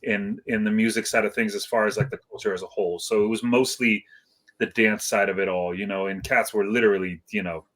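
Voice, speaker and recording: A man's voice: fairly low pitch, steady tone, fairly quick, a bit bright. A middle-aged man from Iceland who moved to California young, chatting as an interview guest in a hesitant, reflective, slangy and rambling way. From Iceland, moved to California at seven. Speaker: guest, hip hop DJ. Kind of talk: casual interview chat.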